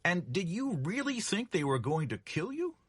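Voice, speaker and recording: A woman's voice: lowish voice, big pitch swings, normal speed, husky, no echo, clean studio sound. A woman reading the part of an insistent, exasperated old male hotel keeper in a story, speaking emphatically. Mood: exasperated, baffled. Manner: emphatic, urging.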